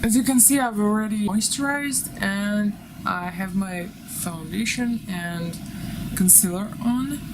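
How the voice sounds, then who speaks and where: A woman's voice: mid-range voice, unhurried, background noise. A woman from Russia with a Russian accent and Russian as her first language, chatting casually to camera as a vlogger in a rambling, giggly manner. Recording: music behind the voice. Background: background music.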